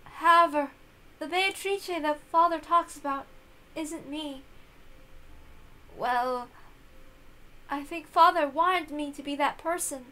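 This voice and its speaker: Woman voicing a high, hesitant young witch. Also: slow, long pauses, bright, forceful tone, heavily acted. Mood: sad, hesitant. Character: feeble, innocent newborn young witch, earnest and sad.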